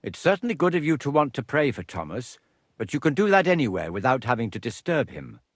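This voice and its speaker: An actor playing a guarded older castle priest who speaks in a measured, evasive way in game dialogue. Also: male voice, mid-range voice, very animated, no room sound, clean studio sound.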